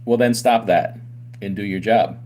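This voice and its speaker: A man's voice: on the low side, fairly even intonation, normal speed, darkish tone. A man who runs a trucking company gives an argumentative, opinionated talk to his audience, with many rhetorical questions. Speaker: owner of a trucking company and channel host. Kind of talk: opinionated commentary to camera.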